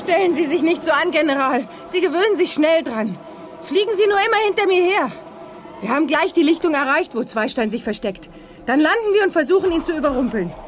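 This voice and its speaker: An actress playing a confident young heroine in a sci-fi radio drama, speaking in a crisp, no-nonsense way. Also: female voice, high-pitched, expressive, brisk. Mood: brisk, determined. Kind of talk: 1980s German radio drama acting.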